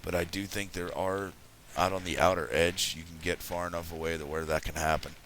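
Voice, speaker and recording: Deep raspy guy, just woke up, chatty rambling game commentary, bright tone, bit of hiss. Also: steady tone, brisk, rough recording. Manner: rambling, chatty, self-correcting. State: just woken up. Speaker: let's play host. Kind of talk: casual gameplay commentary.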